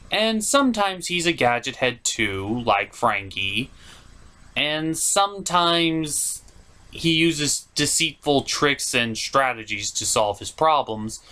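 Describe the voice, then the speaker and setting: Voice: medium pitch, fairly bright tone. A host doing a scripted comedic video review in an opinionated, sarcastic, mock-exasperated way.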